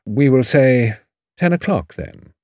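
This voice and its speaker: Man acting out a commanding, dry English lawyer, lively pitch. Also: no room sound. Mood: cool, formal. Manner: measured, precise, formal, dry. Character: shrewd, commanding older English male lawyer, grave and dry. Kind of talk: audiobook character dialogue.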